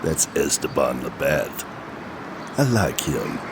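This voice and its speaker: An actor playing a sly devilish spirit who narrates a horror audio drama in a theatrical, teasing, gravelly voice, savoring every dark detail. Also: male voice, rumbling low, big pitch swings, takes it slowly, heavy background noise, full character voice. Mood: sly, intrigued. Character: sly devilish male spirit narrator relishing death and darkness. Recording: music under the voice.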